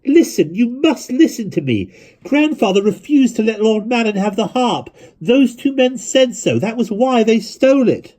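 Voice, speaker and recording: A man's voice: sing-song, moderate pace, warm tone, exaggerated voice. A desperate, straining teenage boy. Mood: pleading. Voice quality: strained and high. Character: desperate teenage boy pleading with a hostile crowd.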